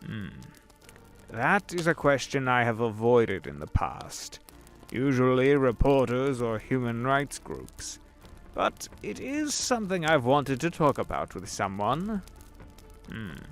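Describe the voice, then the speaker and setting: A man voicing the Devil as a sly, smooth-talking businessman in an audio drama, drawling and knowing. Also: mid-range voice, very animated, slow pace, a bit bright, heavily acted. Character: the Devil posing as suave rich businessman, middle-aged man. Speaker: voice actor. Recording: with background music.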